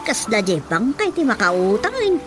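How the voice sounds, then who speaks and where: A woman's voice: mid-range voice, sing-song, moderate pace, lightly acted. An actress playing a chatty, laughing village mother with an Ilocano accent in a radio drama. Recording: music under the voice.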